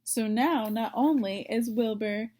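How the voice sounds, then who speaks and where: A woman reading a children's story aloud, pausing for simple explanatory asides. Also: fairly high pitch, warm tone. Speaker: story reader.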